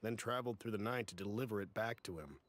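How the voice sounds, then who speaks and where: An actor playing a kindly, considerate older man in a video game, speaking in a measured, avuncular way. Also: fairly low pitch, fairly bright tone, lightly acted. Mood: fond. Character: kindly older uncle at a transport agency, fond, considerate.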